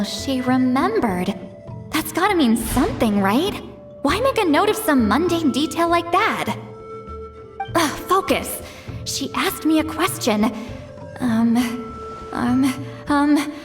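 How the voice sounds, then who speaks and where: Cocky offhand spirit guide lady from a game, high swoopy voice, music under it. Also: fairly bright tone, big-room sound, exaggerated voice. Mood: flustered. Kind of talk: English video game voice acting.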